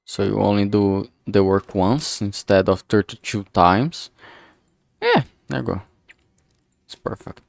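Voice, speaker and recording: A man thinking aloud in a halting way, chatting casually on a solo livestream as he works. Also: fairly low pitch, vocal fry, dry recording, clean studio sound. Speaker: streamer making a visual novel. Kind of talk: casual solo livestream chat while working.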